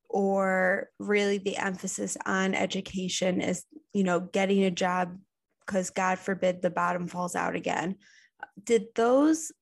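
A woman hosts an interview podcast, her mid-pitched voice full of gravelly fry. She reads an introduction and then asks long, earnest questions of her guest. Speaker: podcast host.

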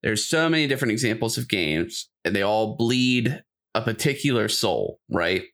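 A man's voice: on the low side, animated, moderate pace, a bit bright. A man co-hosting a podcast, chatting casually and giving opinions in an informal way. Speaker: podcast co-host. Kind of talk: casual discussion chat.

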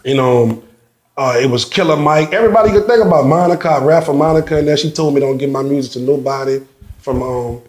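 A man's voice: medium pitch, fast talker, echoey room. A man telling stories as a guest in a casual interview, acting out what others said and often repeating words. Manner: animated storyteller, acts out quotes, repeats words.

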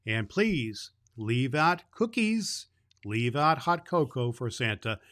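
A man's voice: medium pitch, very animated, takes it slowly, fairly bright tone. Man playing a kindly, folksy Santa Claus. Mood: warm, encouraging. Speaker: host of children's Christmas show. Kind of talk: storytelling and chat addressed to children.

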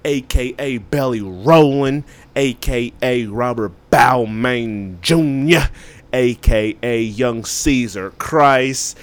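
A man's voice: mid-range voice, slow. A man co-hosting a movie podcast, talking in a loose, slangy, rambling way. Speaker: podcast co-host. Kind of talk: casual movie chat.

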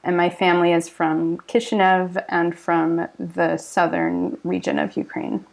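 A woman's voice: on the low side, little pitch movement, measured pace, vocal fry. A woman speaking as a panelist on a podcast, phrasing her thoughts carefully. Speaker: panelist, writer and teacher. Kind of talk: casual panel discussion.

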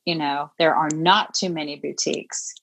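A woman's voice: deep voice, steady tone, normal speed, vocal fry, a bit bright. A woman in her fifties talking as a guest in a casual interview, chatty and reflective, with many fillers. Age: 50s. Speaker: podcast guest, boutique owner.

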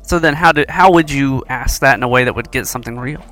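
A man's voice: mid-range voice, fast talker, pushed and bright, soft background music. A man hosting a discussion show, asking probing questions in a casual way and often restarting his sentences. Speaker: show host and roundtable moderator.

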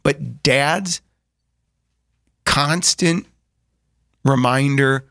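Middle-aged man, casual talk, thoughtful and halting, dry close recording. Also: mid-range voice, vocal fry, fairly bright tone, no echo. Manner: thoughtful, restarts often, says "like" a lot. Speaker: brother in a family discussion. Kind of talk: casual reflective conversation.

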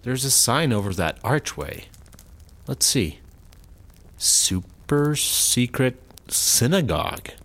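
A man's voice: mid-range voice, very animated, slow, a bit bright, exaggerated voice. A man acting an uptight, practical, anxious straight man in a sci-fi comedy audio drama. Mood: worried. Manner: wary, exasperated straight man. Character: uptight, anxious, practical male partner prone to paranoia.

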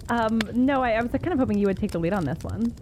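A woman's voice: fairly high pitch, lively intonation, quick pace, darkish tone, slight background noise, close to an everyday voice. A woman playing a timid but eager young adventurer, speaking in a hesitant, stammering, apologetic way. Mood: nervous, hopeful.

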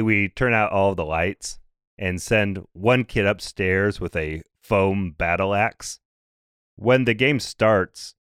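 A man in his thirties or forties hosting a podcast, slightly hoarse and raspy, talking briskly and conversationally with a light dry humor. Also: deep voice, a bit bright, dry recording. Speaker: podcast host. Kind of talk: scripted podcast explainer.

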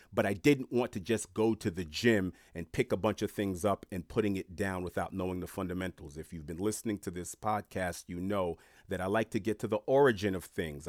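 A man from Haiti hosting a podcast, telling stories with animated rises and dips in emphasis. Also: low voice, no room sound, studio quality. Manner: animated storyteller, rising and falling in emphasis. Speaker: podcast host. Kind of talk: casual podcast interview.

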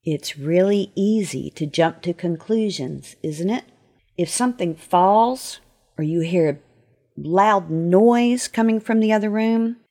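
A woman gives a short faith lesson to young listeners. Her voice is medium in pitch with a husky edge. She explains things expressively, often putting questions to the listener. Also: a little mellow. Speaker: author giving a short lesson. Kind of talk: gentle devotional talk to children.

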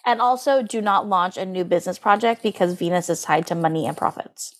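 A woman's voice: on the low side, a little fast. Casual chatty woman podcaster. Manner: conversational, heavy use of "like". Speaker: podcast co-host. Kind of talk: casual astrology podcast chat.

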